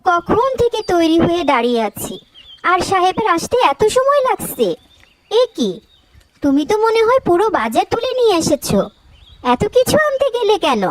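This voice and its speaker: A woman's voice: very high-pitched, sing-song, normal speed, no echo. A woman playing an affectionate, supportive young wife in a cartoon story, sweet and chatty. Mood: bright, delighted. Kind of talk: Bengali cartoon story dialogue.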